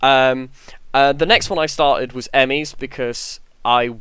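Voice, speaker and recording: A man's voice: medium pitch, steady tone, unhurried, sharp tone, smooth, no room sound. A man chatting casually on a podcast, hesitant and circling through his thoughts with lots of "like". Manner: hesitant, circling, repeats "like" heavily.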